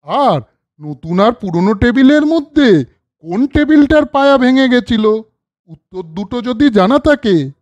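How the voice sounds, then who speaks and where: A woman's voice: on the high side, big pitch swings, measured pace, a little mellow, highs cut off. A woman storyteller reading scripted narration and addressing young viewers directly.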